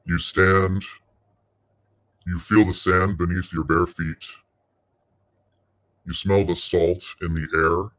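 A man leading a guided meditation in a soothing, unhurried way, pausing between short phrases. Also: deep voice, level tone, a bit bright.

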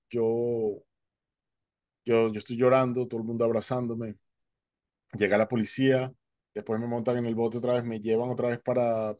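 A man's voice: mid-range voice, mellow, no room sound, studio quality. A man in his forties telling a personal testimony on a headset mic at home, acting out the dialogue as he goes. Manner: vivid storyteller, acting out dialogue. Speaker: guest speaker. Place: home, indoors, virtual background.

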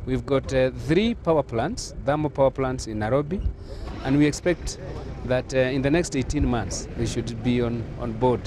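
A middle-aged man from Kenya, an energy official, speaking formally outdoors with frequent pauses. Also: medium pitch, crowd noise. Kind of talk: outdoor news interview. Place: outdoors at a wind farm.

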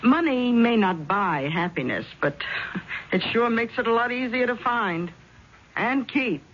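A woman's voice: fairly high pitch, expressive, a little slow. A brash middle-aged mother-in-law in a vintage radio play. She is arch, theatrical and teasing, on a slightly dull recording with faint noise. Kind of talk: 1970s radio drama acting.

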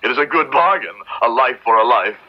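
A man's voice: medium pitch, animated, a little fast, natural voice. A man playing a grave, devout Puritan judge in a radio drama, speaking in formal, solemn oratory. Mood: solemn, resolute. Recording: dull, band-limited old radio recording.

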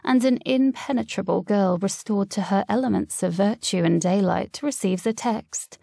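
Creaky-voiced woman narrating a story. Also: mid-range voice, normal speed, mellow, dry recording, clean studio sound. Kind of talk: scripted literary short story narration.

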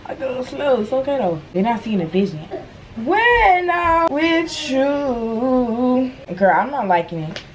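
A woman's voice: high voice, big pitch swings, slight background noise, poor audio. A teenage girl in casual, playful vlog chat, talking to her phone camera at home and in a store. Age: teens. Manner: chatty, playful, quick asides and laughs. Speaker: vlogger. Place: at home in a bedroom, later in a large store. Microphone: phone camera held at arm's length.

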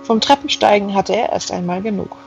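A woman's voice: mid-range voice, a little fast, creaky, a little mellow, faint music behind. A woman reading a novel aloud as scripted narration, on a slightly dull recording. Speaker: audiobook reader.

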